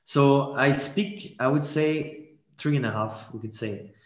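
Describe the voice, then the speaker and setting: A man with a French accent talks as a guest on an interview podcast, his pitch sitting in an ordinary middle range. He rambles and restarts his sentences often. The room around him sounds echoey and bare. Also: takes it slowly. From born in Geneva to French parents. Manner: rambling, many restarts and fillers.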